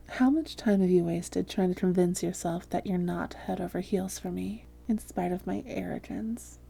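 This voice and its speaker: A haughty, seductive vampire woman, acted by a woman, speaks in a very dark, soft voice full of breath and fry. She often sinks into a whisper, slowly coaxing and teasing with smug superiority, in the style of an intimate hypnosis roleplay. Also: mid-range voice. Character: haughty, seductive female vampire hypnotist toying with a captured hunter.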